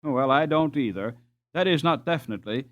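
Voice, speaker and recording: A man voicing an eager, bookish, talkative schoolboy in a storybook, animated and chatty. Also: medium pitch, brisk, muffled recording, natural voice. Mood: eager, amused. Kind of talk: storybook character dialogue.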